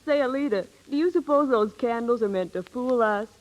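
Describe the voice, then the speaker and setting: An actress playing a catty, gossipy party guest in a 1930s radio commercial skit. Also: female voice, fairly high pitch, fairly quick, darkish tone, lightly acted, low-quality recording.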